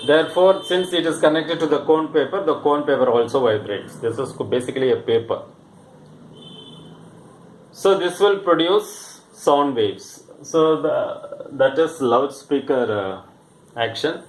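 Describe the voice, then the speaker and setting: A male physics teacher explains a demonstration at a slow, halting pace, pausing and repeating phrases as he goes. His voice sits at an average pitch. The room is clearly echoey, with faint noise underneath. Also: lively intonation.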